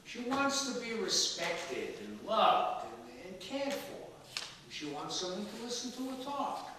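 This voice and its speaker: A man's voice: sits high, very animated, measured pace. A man acting a spry, cranky ninety-seven-year-old father in a comic stage play, blunt and wisecracking. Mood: wry, animated. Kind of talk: comic one-act stage play dialogue. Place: theatre stage, recorded from a distance. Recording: echoey hall, far mic, noisy.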